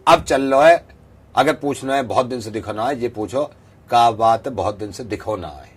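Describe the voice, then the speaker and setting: A man acts out a brash, folksy professor for a radio prank, speaking at a middling pitch in a rural western Uttar Pradesh accent. He rambles and repeats himself, utterly deadpan and certain of his expertise. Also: moderate pace, breathy edge, lightly acted. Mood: instructing. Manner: rambling, repetitive, bluntly folksy. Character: male fake foreign-trained professor, rustic, brash, self-assured. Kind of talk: deadpan prank-call character.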